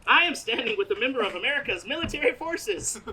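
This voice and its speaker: A woman's voice: high-pitched, lively intonation, fast talker, pushed and bright, heavily acted, poor audio. A woman playing an earnest, flustered radio news reporter in a comedic stage radio play, recorded outdoors live. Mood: dramatic, urgent. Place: outdoors, parking lot stage.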